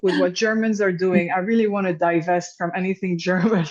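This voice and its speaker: A woman's voice: on the low side, steady tone, a little fast. Woman lecturing over dull call audio. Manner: conversational, frequent fillers and restarts. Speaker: guest lecturer. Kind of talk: informal lecture and discussion.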